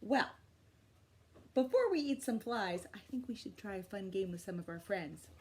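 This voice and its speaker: A woman hosting a children's storytime, reading aloud with expressive, encouraging, exaggerated delivery. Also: medium pitch, moderate pace, breathy edge. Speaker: library storytime host. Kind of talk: animated read-aloud for young children.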